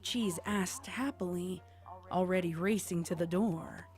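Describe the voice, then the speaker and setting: Woman storyteller, lowish voice, scripted narration with music clearly behind her. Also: unhurried, darkish tone.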